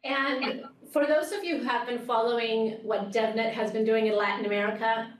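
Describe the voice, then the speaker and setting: A middle-aged woman hosts a panel chat in a fairly high voice. She introduces and prompts in an upbeat presenting style, heard from a little distance in an echoey meeting room. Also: steady tone, normal speed. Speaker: host, developer community manager. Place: small office meeting room, indoors, on a video call.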